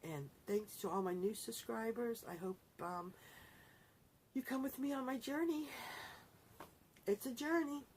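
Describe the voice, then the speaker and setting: A woman's voice: a little high, unhurried, long pauses, a little mellow, slight background noise. A middle-aged woman vlogger chatting casually to her viewers in a rambling, self-correcting way. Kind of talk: casual talk to camera.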